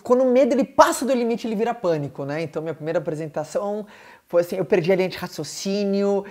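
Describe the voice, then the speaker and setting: A man who hosts a podcast, answering questions in an energetic, emphatic motivational chat with lots of fillers. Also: sits high, fast talker. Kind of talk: casual motivational talk.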